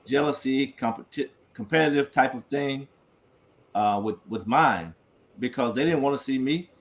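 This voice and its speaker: A man and stepfather reflecting on family challenges in a casual panel discussion, stumbling over a few words. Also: mid-range voice. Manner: reflective, with restarts.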